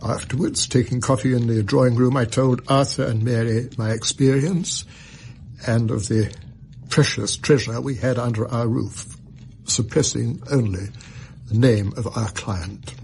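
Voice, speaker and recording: An actor playing a distressed middle-aged Victorian banker and father in a radio drama, with a formal, halting, earnest delivery. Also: mid-range voice, steady tone, vocal fry, a little mellow. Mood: troubled recounting.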